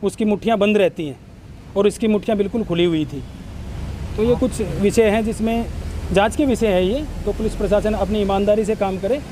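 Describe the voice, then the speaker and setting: A man's voice: sits high, a little fast, mellow, low-quality recording. A man from Haryana speaks to a news reporter. He explains things earnestly and insistently. Light outdoor street noise sits behind him. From Haryana, India. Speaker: villager interviewed by local news. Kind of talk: on-camera news interview testimony.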